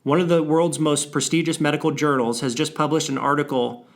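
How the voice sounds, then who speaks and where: A man with a somewhat higher-than-average voice presents a prepared briefing to clients. He reads in a formal, measured manner, setting out figures and names deliberately. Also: level tone. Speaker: financial firm presenter on a client briefing call. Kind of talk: scripted briefing read aloud.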